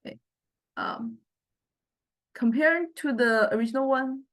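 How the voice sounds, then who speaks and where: A woman giving a step-by-step software tutorial as a lecturer, hesitant and halting, often checking in with listeners. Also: on the high side, huge pitch swings, slight background noise. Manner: hesitant, halting delivery with repeated check-ins.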